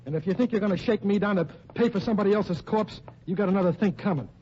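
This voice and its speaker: An actor playing a defensive gangster boss in an old-time radio crime drama, blunt, slangy and indignant. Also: male voice, high voice, fast, a little mellow, a little hiss or hum, natural voice. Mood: indignant denial. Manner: blunt, slangy, indignant tough-guy talk. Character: gruff, defensive male gangster nightclub boss. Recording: muffled old radio recording.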